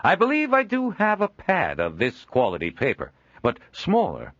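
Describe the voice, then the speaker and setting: A man voicing a jovial middle-aged traveling trader, hearty and quick to laugh. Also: wildly swooping pitch, fairly bright tone, dry recording, lo-fi sound, full character voice. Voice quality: hearty, booming, laughs often.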